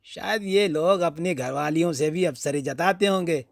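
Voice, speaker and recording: A man's voice: fairly high pitch, normal speed, vocal fry, dry recording, studio quality. A man voicing an indignant friend in a storybook reading, giving opinionated advice in an emphatic, mocking manner. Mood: scornful.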